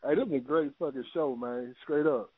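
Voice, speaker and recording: A man's voice: mid-range voice, a little fast, husky, no room sound. American man, radio host, casual slangy chat, repeated phrases, thin telephone sound, dry. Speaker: internet radio show host. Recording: tinny phone-line internet radio.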